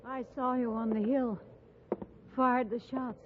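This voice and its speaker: A woman's voice: on the high side, little pitch movement, measured pace, lightly acted. A woman playing a wary, frightened frontier settler in a 1950s radio western. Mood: fearful, wary. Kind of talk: 1950s radio drama acting. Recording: muffled, noisy old radio.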